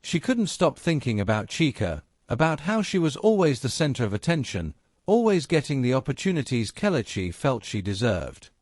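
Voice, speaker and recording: A man's voice: medium pitch, big pitch swings, normal speed, hardly any pauses, darkish tone, dry recording, clean studio sound. A man reading a scripted dramatic story as a narrator.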